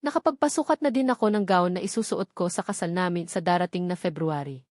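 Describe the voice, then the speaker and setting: A woman's voice: fairly low pitch, fast talker, a little mellow, dry recording, studio quality. A woman narrating a novel in the first person, reading from a script in a storytelling style. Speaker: audiobook narrator.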